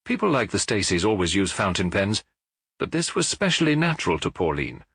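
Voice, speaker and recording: A man voicing a little priest detective in an audiobook, gentle and earnest as he explains in a measured, lecturing way. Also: on the low side, slightly rough, no echo, clean studio sound, exaggerated voice. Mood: grave explaining. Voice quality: gentle, even. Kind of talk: audiobook character dialogue.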